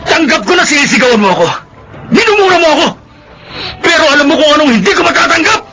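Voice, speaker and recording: A man's voice: extremely high pitch, animated, fast. An actor playing a hardworking husband in a radio drama, a man who is hurt and betrayed by his wife. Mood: furious. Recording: radio drama with music bed.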